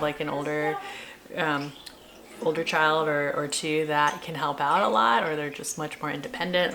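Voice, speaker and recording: A woman's voice: deep voice, a bit bright, a little hiss or hum. A middle-aged woman chatting casually to viewers in a rambling, self-questioning way, like a parent vlogger. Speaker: homeschooling mother and vlogger. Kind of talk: casual chatty vlog reflection.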